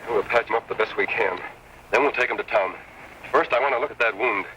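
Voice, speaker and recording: Masked frontier hero male actor: American, fast, forceful, authoritative, old radio Western with faint noise. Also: mid-range voice, natural voice, low-quality recording. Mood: thoughtful, decisive. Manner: crisp, earnest, authoritative. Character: masked lawman hero, steady, commanding, upright. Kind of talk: old-time Western radio drama acting.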